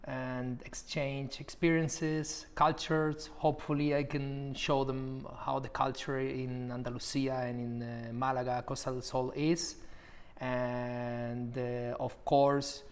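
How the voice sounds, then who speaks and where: Spanish-accented young man, pausing often. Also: medium pitch, level tone. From Málaga, Spain. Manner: thoughtful, with frequent "uh" pauses. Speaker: property specialist at an agency. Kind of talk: relaxed interview answers.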